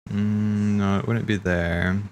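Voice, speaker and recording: A man's voice: lowish voice, fairly even intonation, takes it slowly, a little mellow. A man hosting a casual watch party, rambling and thinking aloud in slangy speech as he comments. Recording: game music playing behind. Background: video game cutscene music.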